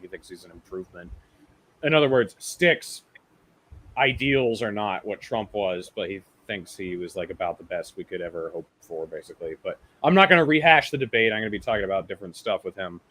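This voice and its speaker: A man's voice: lowish voice, a bit bright, poor audio. A man in his late twenties chatting casually as a guest on a talk show. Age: late 20s. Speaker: guest who hosts his own show. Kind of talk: casual chat.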